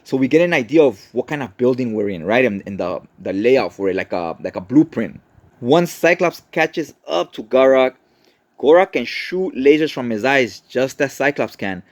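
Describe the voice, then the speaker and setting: A man hosts a casual story recap in an ordinary, medium-pitched voice. He talks conversationally and informally, adding his own commentary. The sound is dry and close, with no room to it. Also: expressive, moderate pace, no room sound. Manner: informal, conversational, checks in with "right?". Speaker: host, comic book recapper.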